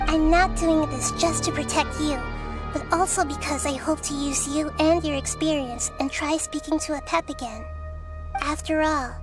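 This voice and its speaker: A woman voicing a wise, caring young girl goddess who speaks calmly and gently in a video game. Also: high-pitched, natural voice. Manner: calm, gentle, reassuring. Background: game music.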